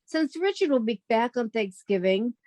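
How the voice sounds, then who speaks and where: A woman's voice: fairly high pitch, very animated, normal speed, darkish tone, no echo. A woman playing a sensible young single woman, wisecracking and fondly exasperated, in 1940s radio comedy style.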